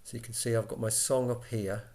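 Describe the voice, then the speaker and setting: A man giving an informal software walkthrough, explaining step by step in a conversational way as an instructor. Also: mid-range voice, level tone, brisk.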